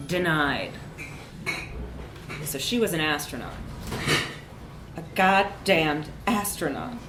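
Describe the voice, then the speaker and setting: A woman's voice: sits low, sing-song, slow, roomy sound, noisy background. A woman reads a comic short story aloud at a live event, with wry, emphatic narration. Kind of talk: animated literary narration, live reading.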